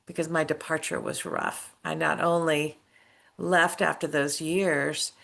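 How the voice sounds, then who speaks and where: A woman's voice: deep voice, steady tone, a little slow, creaky, fairly bright tone. A middle-aged woman, an author and former school principal, telling her story earnestly in a casual interview. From United States.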